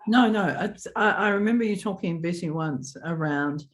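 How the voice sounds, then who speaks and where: Low-pitched New woman interviewer. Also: normal speed. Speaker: webinar host, interviewer. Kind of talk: conversational interviewing.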